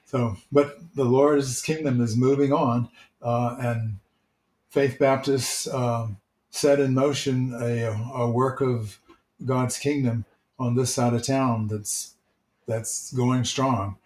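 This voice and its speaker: A church elder shares memories in an unhurried, gentle way, his mid-range male voice steady and warm in delivery. The recording carries a noticeable room echo around him. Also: medium pitch. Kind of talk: casual storytelling. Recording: roomy, echoey sound.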